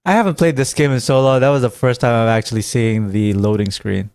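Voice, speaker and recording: A man's voice: medium pitch, fairly even intonation, brisk, a little mellow, clean tone, dry recording, clean studio sound. A man giving casual gameplay commentary as a streamer, thinking aloud and reading game text. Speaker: gaming streamer.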